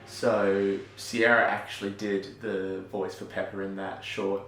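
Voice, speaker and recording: A young Australian man chatting casually as a filmmaker, self-deprecating and quick to laugh. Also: sits low, little pitch movement, fairly bright tone. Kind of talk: casual vlog narration. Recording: echoey room with music under it.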